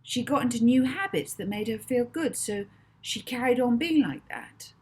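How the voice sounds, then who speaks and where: Lady doing a young lad in a kids' story, high voice, reassuring n matter of fact, explaining stuff. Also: animated, moderate pace, a little mellow, husky. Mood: surprised, reassuring. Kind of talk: children's audiobook character dialogue.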